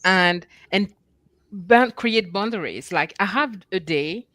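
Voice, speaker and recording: Bright, dry-sounding woman chatting. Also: mid-range voice, lively intonation, no room sound. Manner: laughing, self-deprecating, quick restarts. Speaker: travelling small creator on a panel. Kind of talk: casual online roundtable chat.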